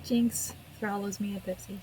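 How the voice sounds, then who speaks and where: A woman's voice: mid-range voice, fairly even intonation, normal speed, background noise. A woman let's player giving casual video game commentary with hesitant, musing asides. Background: faint game music.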